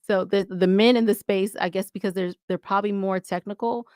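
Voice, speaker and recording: A woman's voice: mid-range voice, fairly even intonation, brisk, darkish tone, clean tone, dry recording, clean studio sound. An American woman chatting casually as a podcast guest, with an emphatic, colloquial manner. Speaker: podcast guest, audio drama producer. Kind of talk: casual interview chat.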